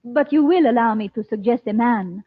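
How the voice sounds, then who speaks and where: An actress playing a mysterious, solemn Egyptian woman with formal, measured speech in an old-time radio serial. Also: on the high side, slightly rough, no echo. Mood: solemn, proud. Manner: formal, measured, stilted speech without contractions. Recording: tinny, band-limited old radio recording.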